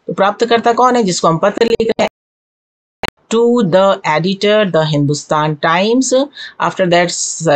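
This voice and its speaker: A woman with an Indian accent, a native Hindi speaker, teaching an exam-prep lesson in an instructive way, repeating and translating key phrases. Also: mid-range voice. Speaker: English teacher.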